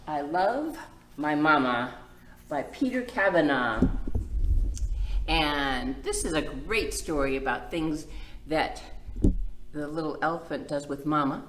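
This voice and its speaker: A woman's voice: deep voice, lively intonation, takes it slowly, pauses often, background noise. A middle-aged woman hosting a children's storytime, reading a picture book aloud and putting simple questions to young listeners. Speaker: children's librarian and storytime host. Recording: roomy echo.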